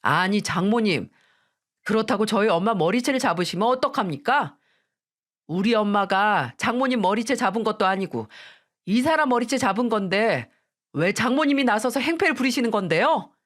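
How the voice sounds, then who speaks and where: A woman's voice: medium pitch, animated, normal speed, pitched above the performer's own voice, natural voice. A woman voicing a cold, dismissive husband who answers his mother-in-law curtly and with irritation. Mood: irritated. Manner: curt, irritated.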